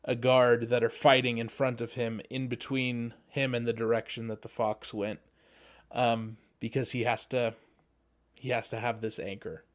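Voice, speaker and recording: A player in a tabletop game describing a scene, halting and full of fillers. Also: mid-range voice, little pitch movement. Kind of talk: casual in-character play description.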